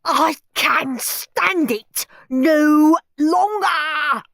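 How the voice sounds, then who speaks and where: A woman's voice: lowish voice, big pitch swings, slow, fairly bright tone, no echo, clean studio sound, exaggerated voice. A woman reading aloud, voicing a cheeky, fed-up young boy reading out his grumbling diary entries. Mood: sulky.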